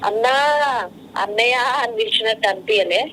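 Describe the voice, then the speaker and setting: A woman's voice: fairly high pitch, fairly even intonation, brisk, fairly bright tone. A woman with a Telangana Telugu accent, chatting casually on a phone call. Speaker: wife taking a phone call. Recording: phone call with background noise.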